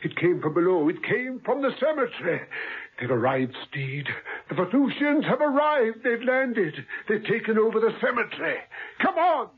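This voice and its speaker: An actor playing an excitable doctor in a 1970s radio drama, speaking with urgent, breathless alarm. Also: male voice, sits high, moderate pace, slightly rough, heavily acted. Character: excitable older male scientist, doctor. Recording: tinny old radio broadcast.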